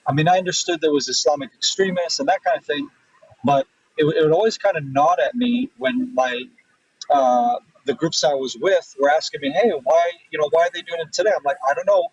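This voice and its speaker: A man from Israel answering interview questions thoughtfully, pausing often with fillers and restarts. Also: on the high side, breathy edge. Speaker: guest. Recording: distant, dull remote audio.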